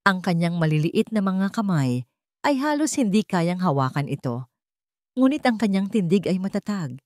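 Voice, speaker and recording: A woman's voice: lowish voice, a little mellow, no echo, studio quality. A woman narrating a scripted story in a solemn, suspenseful, dramatic way.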